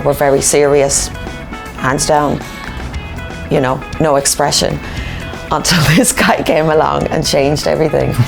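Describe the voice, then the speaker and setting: A woman with an Irish accent tells stories in a relaxed interview, often voicing what other people said. Her pitch is on the low side with plenty of vocal fry, and music plays clearly behind her. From Ireland. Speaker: Irish dancer in a comedy circus show. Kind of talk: casual documentary interview storytelling.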